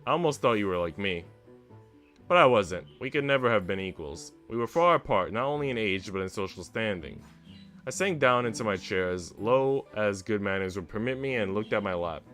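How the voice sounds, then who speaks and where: Man as modest young woman, visual novel narrator, formal, reflective, animated pitch. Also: fairly quick, faint music behind. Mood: wistful. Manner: formal, earnest, reflective storytelling. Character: young woman narrator, earnest, modest, well-mannered housemaid's daughter.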